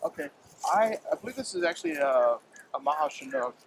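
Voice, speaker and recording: A man from Hawaii, a home fruit grower, talking in a halting, casual way, often repeating words and filling pauses with um, recorded outdoors in a yard. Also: fairly high pitch, animated, slight background noise, low-quality recording. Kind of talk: casual interview.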